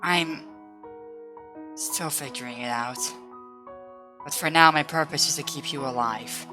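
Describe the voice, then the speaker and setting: A woman's voice: low-pitched, a little slow, bright, forceful tone, faint music behind. A woman voicing a blunt, loyal female comrade in a dramatic roleplay, firm and earnest. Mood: weary, earnest. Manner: firm, scolding yet earnest. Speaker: voice actor.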